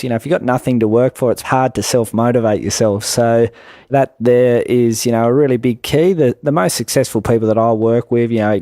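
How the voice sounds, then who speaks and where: A man's voice: mid-range voice, mellow, dry recording, clean studio sound. A man chatting as an interview guest and giving conversational business advice. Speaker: guest, home-business coach.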